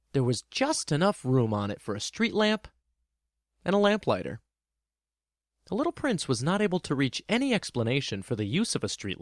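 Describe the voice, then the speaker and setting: A man reading scripted storybook narration in an expressive storytelling manner. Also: mid-range voice, no echo, clean studio sound.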